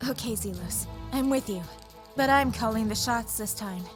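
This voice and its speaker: Actress playing determined young cadet commander, highish voice, level-headed, game fan dub, music behind. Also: female voice, moderate pace, natural voice. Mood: skeptical.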